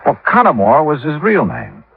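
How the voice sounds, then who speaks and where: A man's voice: a little high, huge pitch swings, moderate pace, vocal fry, background noise, full character voice. An American actor playing a tough, laconic insurance investigator who tells his case in a clipped, wry 1950s radio detective style. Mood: weary, explaining. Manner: clipped, wry, hard-boiled. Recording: muffled old radio recording, faint music.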